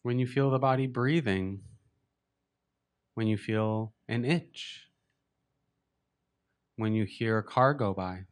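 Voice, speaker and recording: A man with a lowish voice guides a meditation. He speaks as a teacher giving simple instructions, gently and without hurry. Long pauses sit between his phrases.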